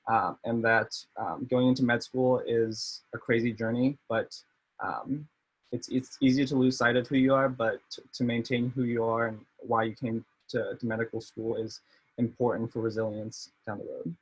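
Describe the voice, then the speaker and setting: A man's voice: mid-range voice, flat delivery, normal speed, slightly rough, poor audio. A young man in his twenties from Maryland, a medical student on a panel, talking loosely with many fillers and restarts over a video call. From Maryland, USA. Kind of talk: casual panel talk.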